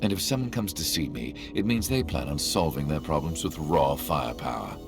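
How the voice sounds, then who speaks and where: An actor playing a sly, cornered arms dealer in a video game, smug and menacing, with a drawling, theatrical delivery. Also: male voice, very deep voice, lively intonation, a little fast. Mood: guarded. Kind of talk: video game cutscene acting. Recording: music behind the voice.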